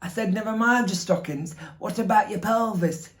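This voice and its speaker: A man's voice: sits high, normal speed, a bit bright, pitched above the performer's own voice. A man performing a fussy, exasperated son in a comic monologue, telling an anecdote. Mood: exasperated, wry. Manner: anecdotal, quoting both sides. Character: fussy, exasperated son recounting his elderly mother's fall.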